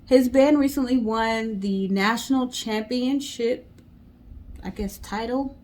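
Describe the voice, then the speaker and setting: A woman's voice: on the high side, slow, a little mellow, a little hiss or hum. A woman co-hosting and retelling a story in a casual storytelling style. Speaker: co-host.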